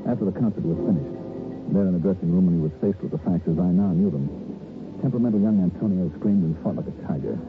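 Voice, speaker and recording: A man's voice: sits low, quick pace, background noise, natural voice. An actor playing a wry, unruffled investigator who narrates his case conversationally in an old radio detective drama. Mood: brisk, matter-of-fact. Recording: tinny old radio broadcast with music.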